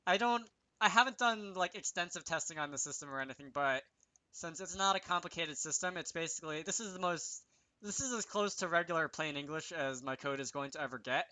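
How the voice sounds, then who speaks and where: A man's voice: fairly high pitch, fairly quick, sharp tone, no echo. A man giving a casual coding tutorial, talking to himself as he works, with wry little asides. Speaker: video creator demonstrating game programming.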